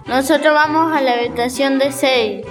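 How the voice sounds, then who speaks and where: Radio drama schoolchild female performer: high voice, excitable, easily spooked, music underneath. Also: normal speed, natural voice. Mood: bold, dismissive. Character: excitable, frightened schoolchild on a sleepover. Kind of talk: radio play acting.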